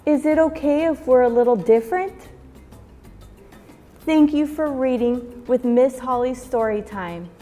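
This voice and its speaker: A woman hosts a children's storytime in a high voice. She reads at an unhurried pace, shaping the story expressively. Her voice rings in a long reverb. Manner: expressive, measured storytelling delivery. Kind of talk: read-aloud picture book narration for children. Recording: long reverb, music behind. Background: music.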